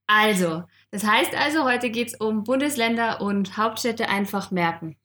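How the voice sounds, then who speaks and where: A woman co-hosting a podcast, chatty and lively, laughing often as she explains. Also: mid-range voice, a little slow. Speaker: podcast co-host. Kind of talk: casual podcast chat.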